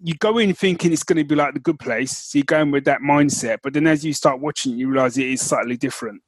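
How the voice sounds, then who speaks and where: A man's voice: fairly high pitch, quick pace, breathy edge, no echo. A man co-hosting a podcast in a casual, conversational style. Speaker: podcast co-host. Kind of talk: casual chat.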